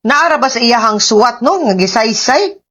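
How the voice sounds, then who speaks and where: A woman's voice: on the high side, animated, moderate pace, fairly bright tone. A woman with a Cebuano accent hosting a radio advice show, chatty and informal, dropping in English asides and tag questions. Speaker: radio host and lawyer giving legal advice.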